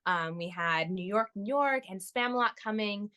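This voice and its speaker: A woman's voice: mid-range voice, normal speed, pushed and bright, smooth. A young woman from Florida is a guest on a podcast. She talks in a chatty, upbeat way and laughs a lot. Her voice sits in an ordinary middle range. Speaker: guest, touring musical theater performer. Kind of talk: casual interview chat.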